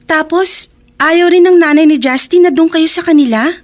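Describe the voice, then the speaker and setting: A Filipino actress playing an anxious, pitying teenage best friend in a radio drama. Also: female voice, extremely high pitch, normal speed, over loud music. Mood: worried. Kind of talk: Tagalog radio drama acting.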